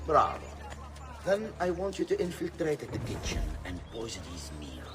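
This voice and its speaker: An actor playing a commanding, menacing older Renaissance conspirator in a video game, speaking imperiously and deliberately. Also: male voice, medium pitch, wildly swooping pitch, noisy background. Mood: businesslike. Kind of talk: video game cutscene acting. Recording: music under the voice.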